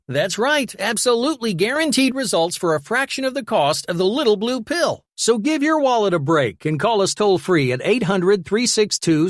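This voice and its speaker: A woman announcer delivering a scripted radio sales pitch. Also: no room sound, studio quality. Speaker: advertisement announcer.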